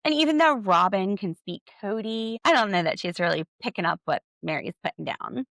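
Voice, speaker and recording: A woman's voice: mid-range voice, animated, brisk, fairly bright tone, no echo. A woman hosting a solo podcast, recapping a reality TV episode with sarcastic, exasperated commentary. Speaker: podcast host.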